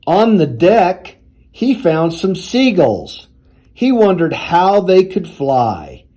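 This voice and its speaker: A man reading a children's storybook aloud in a deliberate, expressive storytelling manner. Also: high-pitched. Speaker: university athletics director reading aloud.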